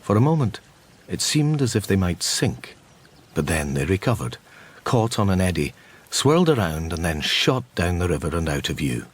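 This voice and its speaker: An actor playing a gentle, reflective middle-aged psychic detective, narrating a radio drama in a measured, wistful way. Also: male voice, low-pitched, very animated, slightly rough, heavily acted.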